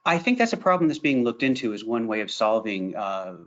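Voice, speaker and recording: A man, a scientist answering audience questions on a video call, speaking in a measured, hesitant way with many uhs. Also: medium pitch, big pitch swings. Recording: dull lo-fi video call audio.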